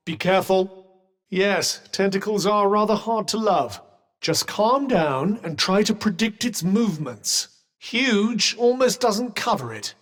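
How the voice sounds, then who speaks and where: A dry, teasing companion. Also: male voice, high voice, fairly bright tone. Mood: cautioning. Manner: dry, teasing, mock-polite. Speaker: voice actor. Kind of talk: video game character voice lines.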